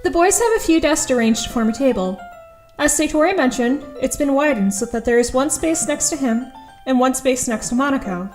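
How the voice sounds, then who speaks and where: A woman's voice: high voice, expressive, a little fast, a little mellow, soft background music. A woman playing an awkward, earnest teenage schoolgirl who narrates her thoughts in an audio drama, a little hesitant. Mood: curious, reflective.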